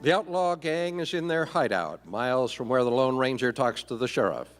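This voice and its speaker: A man's voice: mid-range voice, animated, moderate pace, lightly acted. A man playing the dramatic narrator of an old-time Western radio serial. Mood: suspenseful. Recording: live stage hall, long reverb. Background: music.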